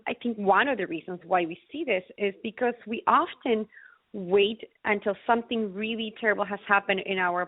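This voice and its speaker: A woman from Ecuador, explaining health topics conversationally as an interview guest, in an earnest, deliberate way. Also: mid-range voice, no echo, phone-quality sound. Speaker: interview guest, naturopathic physician.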